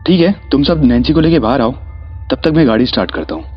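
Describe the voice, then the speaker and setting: A man's voice: mid-range voice, sing-song, quick pace, lo-fi sound, soft background music. A man playing a brash young man among friends in a horror story drama. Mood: urgent.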